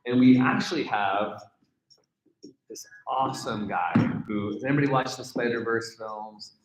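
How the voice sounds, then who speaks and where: A man's voice: medium pitch, unhurried, a little hiss or hum. Man hosting in a very echoey room. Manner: conversational, engaging listeners with questions. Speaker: event host and program organizer. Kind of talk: informal live announcements to a gathering. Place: indoor mixer venue in front of a gathering. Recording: very echoey room, live event.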